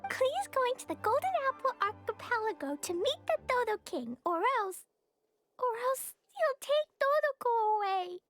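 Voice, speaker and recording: A woman's voice: very high-pitched, very animated, moderate pace, a bit bright, no room sound, full character voice. A woman voicing an innocent, earnest little girl knight in an anime game, with a small childlike way of speaking. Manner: childlike, speaks of self by name. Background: children's game music.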